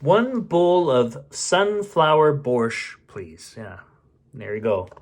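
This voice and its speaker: A man's voice: mid-range voice, expressive, takes it slowly. A man reading a storybook aloud expressively, with casual muttered asides as he goes. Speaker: bedtime story reader.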